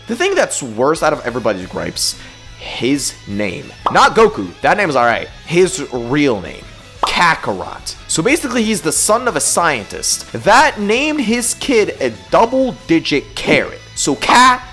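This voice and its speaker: A YouTube host performs a comedic rant with highly animated pitch that swings up and down. The delivery is theatrical and exaggerated, stretching words and breaking into outbursts. Music plays behind the voice. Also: a little slow. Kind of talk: comedic scripted rant commentary. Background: background music.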